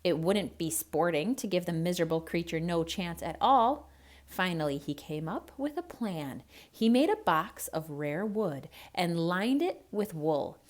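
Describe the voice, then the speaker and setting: Woman doing theatrical kids read-aloud, lowish voice, really expressive and emphatic. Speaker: children's storyteller.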